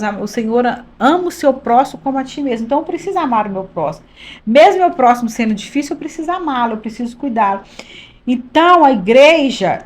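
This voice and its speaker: Mulher de cinquenta e poucos, voz aguda. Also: female voice, fairly high pitch. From Minas Gerais, Brazil. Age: 50s. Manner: earnest, emphatic, repeats phrases for emphasis. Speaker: pastor's wife, podcast guest. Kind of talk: conversational testimony.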